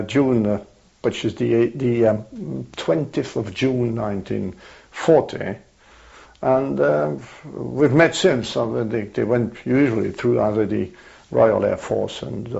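An older man with a French accent, a native French speaker, recalling his life in an oral history interview, pausing often with fillers and restarts. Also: fairly low pitch, vocal fry, a little mellow. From France. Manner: hesitant, many fillers and restarts. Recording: dull lo-fi archival tape.

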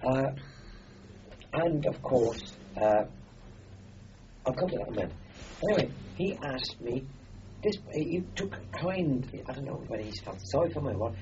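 An older man from Austria, a native German speaker, recalls his youth in an interview with a warm, mellow tone. His pitch rises and falls in a lively way as he meanders through the story with fillers and restarts. The recording is dull and lo-fi, with a faint hiss underneath. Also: normal speed, long pauses. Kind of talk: oral history reminiscence.

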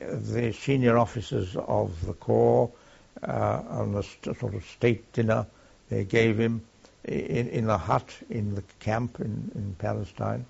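An older man with a gravelly, husky voice, recalling his wartime service haltingly, with many pauses and fillers. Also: mid-range voice. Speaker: war veteran recounting service. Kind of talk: oral history recollection. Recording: dull lo-fi archive tape.

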